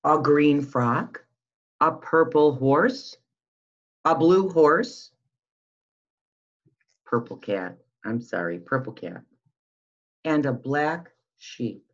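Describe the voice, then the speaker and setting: A woman's voice: deep voice, lively intonation, very slow, pauses often. A middle-aged woman reading a picture book aloud to young children, sing-song and full of questions, on a webcam microphone at home. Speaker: school behavior specialist reading to young children. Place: small room at home, indoors. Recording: dull lo-fi webcam sound.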